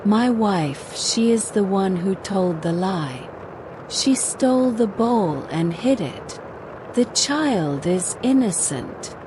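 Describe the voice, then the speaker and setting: Village uncle, acted by a woman narrator: sad, determined, slow folktale delivery over noise. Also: mid-range voice, animated. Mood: resolute. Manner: sad, resolute. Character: ashamed but determined uncle confessing the truth.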